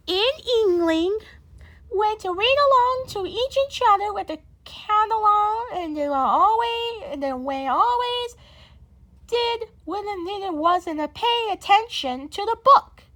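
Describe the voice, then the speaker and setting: High-pitched woman reading a storybook haltingly. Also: bright, forceful tone. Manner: halting, stumbling over words. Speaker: storybook reader. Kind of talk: amateur read-aloud of a children's story.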